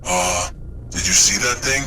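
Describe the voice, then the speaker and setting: An actor playing a skeptical, blunt crew chief in a dramatic sci-fi game cutscene. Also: male voice, low voice, unhurried, sharp tone, slight background noise, full character voice. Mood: incredulous.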